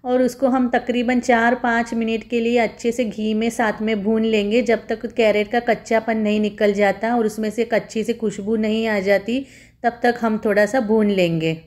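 A woman's voice: on the high side, little pitch movement, normal speed, darkish tone. A woman home cook giving a step-by-step recipe voice-over, instructing viewers in a friendly, familiar form of address.

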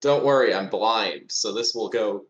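A man's voice: fairly low pitch, unhurried, a bit bright. A man playing a tabletop game, chatting casually with wry, crude humour. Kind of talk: casual joking chat. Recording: muffled mic in echoey room.

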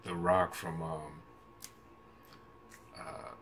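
A man's voice: rumbling low, extremely slow, vocal fry, fairly bright tone. An American man in his thirties giving casual, slangy reaction commentary in a rambling, hesitant way, recorded in a room at home. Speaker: reaction video host. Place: small room at home, indoors.

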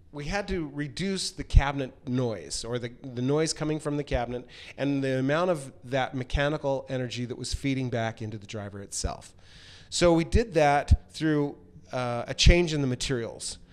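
A middle-aged man with a clean, smooth voice gives an informal product presentation. He speaks at an ordinary male pitch into a handheld mic, often halting mid-phrase to find the next piece of his explanation. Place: large hard-walled workshop room, indoors. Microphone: handheld microphone held close.

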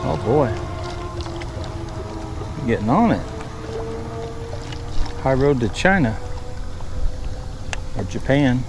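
A man's voice: mid-range voice, sing-song, drawn-out words, pauses often, over music. A middle-aged man hosting a fishing vlog with casual, folksy, rambling running commentary. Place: outdoors on a small fishing boat on open water. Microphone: action camera worn on chest or held at arm's length. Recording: outdoor boat noise, wind.

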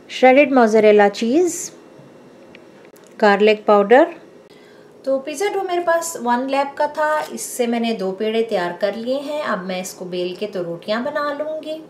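A woman hosting a home cooking show, calmly explaining each step and addressing her viewers directly. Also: a little high, normal speed. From Pakistan. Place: home kitchen.

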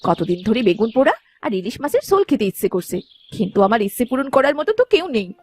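Female actor, scolding young wife, high-pitched, highly animated, sarcastic, demanding, cartoon, music behind. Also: fast, breathy edge. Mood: demanding, complaining. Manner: nagging, sarcastic. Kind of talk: fairy-tale cartoon acting.

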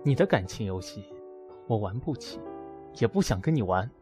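A man's voice: fairly low pitch, expressive, normal speed, a little mellow, close to an everyday voice. A man playing a proud, wounded math teacher in an audio drama, speaking in a curt, cool way. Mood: cold, hurt. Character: proud, wounded male math teacher rejecting his former lover. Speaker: voice actor in an amateur audio drama. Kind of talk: acted audio drama dialogue. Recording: music behind the voice.

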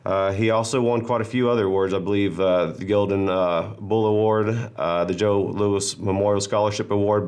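A man in his thirties, a rancher, speaking in a proud, measured way with hesitant pauses. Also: on the low side, clear, resonant tone. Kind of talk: interview.

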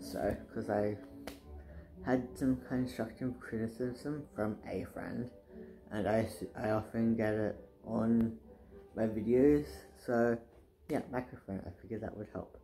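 Young man, soft deep voice, gravelly, hesitant rambling chat on a couch, camera close by, faint music behind. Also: low-quality recording. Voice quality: soft-spoken, says his voice is soft and deep. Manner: rambling, hesitant, many fillers and restarts. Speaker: booktuber. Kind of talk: casual chat. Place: living room, indoors, sitting on couch.

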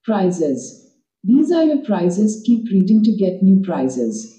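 A woman's voice: mid-range voice, sing-song, slow pace, dark tone. A woman as an app's voice assistant, giving scripted, encouraging instructions and praise. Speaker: reading app voice assistant. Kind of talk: scripted app prompts and praise. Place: played from a device speaker in a room, picked up by camera. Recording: dull app playback in echoey room.

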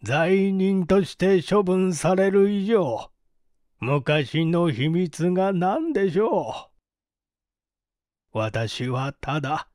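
A penitent old man of about sixty, voiced by a male reader in a mystery audio drama. He speaks extremely slowly and haltingly, with solemn, old-fashioned formality. The recording is dry. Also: sits high, a little mellow, no echo, full character voice. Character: anguished, penitent old man over sixty, doting father.

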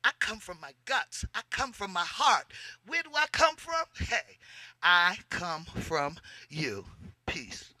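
A woman's voice: on the low side, sing-song, takes it slowly, sharp tone. A middle-aged woman from the United States performing spoken-word poetry into a handheld mic, theatrical and declamatory, with chanted refrains. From United States, Boston. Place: outdoor poetry event at a podium in a cemetery. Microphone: handheld microphone held close.

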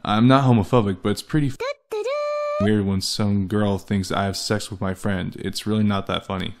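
A man's voice: lowish voice, steady tone, moderate pace. A man giving a comic dramatic reading of text messages, with sardonic, exasperated delivery. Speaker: host and voice actor. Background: background music.

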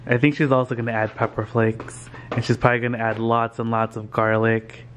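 A man's voice: mid-range voice, little pitch movement, fairly quick, fairly bright tone, slight background noise. A young man vlogging at home, chatting casually to a handheld camera held at arm's length and laughing often. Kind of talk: casual vlog chat. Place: home kitchen and bedroom.

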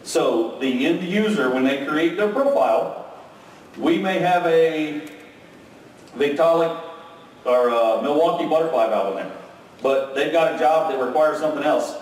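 A man's voice: a little high, measured pace, recorded from a distance. Man presenting in an echoey ballroom. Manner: energetic, frequent "okay?" and "right?" checks. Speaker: conference presenter. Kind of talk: technical keynote presentation. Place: large hotel conference room with audience.

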